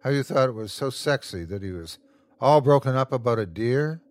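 A man's voice: medium pitch, expressive, darkish tone, no room sound, lightly acted. A man voicing a sadistic, taunting creature in a horror story, savoring every cruel word in a mocking, gloating way. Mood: cruel mockery. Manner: gloating, drawn-out mocking delivery. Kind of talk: horror audiobook character voice.

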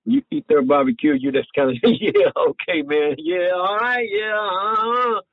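A man, a barbecue pitmaster telling his own story in a folksy, animated way. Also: sits high, normal speed, dry recording. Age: 70s. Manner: folksy, animated, loose Southern grammar. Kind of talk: personal storytelling. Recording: phone-like narrow sound with faint music.

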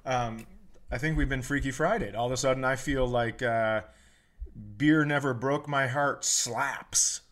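A man's voice: medium pitch, fairly even intonation, a little slow, fairly bright tone. An American man hosting a comedy podcast in a casual, joking, sometimes profane way.

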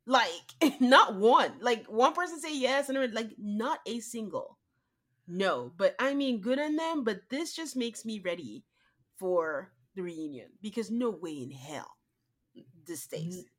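A woman's voice: on the high side, animated, a bit bright. Woman, podcast co-host, nasal, cold, casual chat, laughing asides. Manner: chatty, laughing, quick asides. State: congested from a cold.

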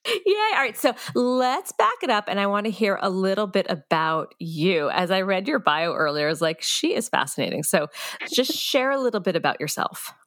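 A woman's voice: mid-range voice, animated, moderate pace, a bit bright, no echo, clean studio sound. A woman hosting a podcast, interviewing a former student in an upbeat, giggly way. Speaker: podcast host and prenatal yoga teacher. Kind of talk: interviewing a guest.

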